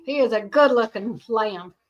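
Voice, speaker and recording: A middle-aged woman with a high-pitched voice, rambling chattily to viewers about her homestead, often laughing. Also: unhurried, lo-fi sound. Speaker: homestead vlogger. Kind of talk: casual chat to viewers.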